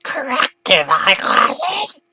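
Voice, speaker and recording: Guy doing a squealing freaked-out kid, super high, yelling. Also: wildly swooping pitch, slow pace, slightly rough, dry recording, exaggerated voice. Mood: hysterical. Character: panicked, shrill young boy in a crisis.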